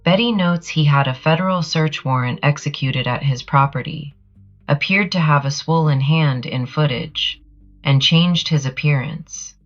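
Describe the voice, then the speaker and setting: A woman reading scripted commentary as a narrator, in a deadpan, dryly sardonic manner. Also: low voice, lo-fi sound. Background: music.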